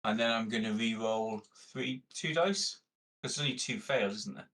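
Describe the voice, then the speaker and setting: A man's voice: on the low side, moderate pace, fairly bright tone. A man chatting casually and bluntly as a player in a tabletop game. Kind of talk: casual chat.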